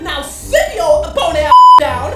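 A woman's voice: high-pitched, big pitch swings, a little slow. A woman voicing a flamboyant, possessive robot husband in a theatrical, bossy comic-dub style. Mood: outraged, bossy. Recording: echoey, distant, music behind.

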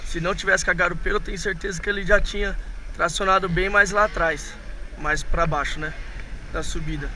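A hoarse man with a Brazilian Portuguese accent, chatting to his viewers as he rides an electric tricycle through city streets. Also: high voice, steady tone, brisk. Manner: chatty, rambling, addresses viewers as family. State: hoarse from a cold. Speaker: vlogger. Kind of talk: casual vlog talk while riding. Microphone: action camera on the handlebars. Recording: loud road and wind noise.

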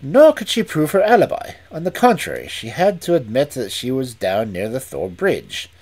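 A reader voicing a calm, incisive Victorian detective who reasons aloud in a measured, deliberate way. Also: mid-range voice. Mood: reasoning. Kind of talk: audiobook reading.

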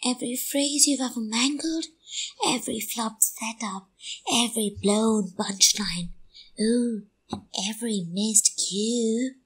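An Indian-accented woman playing a mocking, gleeful ghost of forgotten lines in a radio play, with theatrical drawn-out delivery and a breathy voice. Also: sits high, fairly bright tone, full character voice, low-quality recording.

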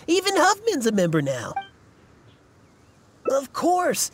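A man's voice: very high voice, wildly swooping pitch, slow, slight background noise, exaggerated voice. A man voicing an earnest, self-important young fan club leader in a video game, stammering and fussy. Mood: proud. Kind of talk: video game character acting.

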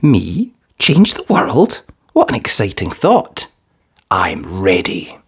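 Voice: low voice, huge pitch swings, slow, breathy edge, pitched below the performer's own voice, full character voice. A storybook narrator voicing an earnest, kind five-year-old superhero girl, sincere and eager. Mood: excited, proud.

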